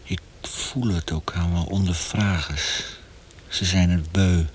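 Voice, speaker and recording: An actor playing a feverish, tortured young male prisoner facing death in a radio drama, speaking haltingly with hushed passages. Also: deep voice, fairly even intonation, a little hiss or hum. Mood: resigned, regretful.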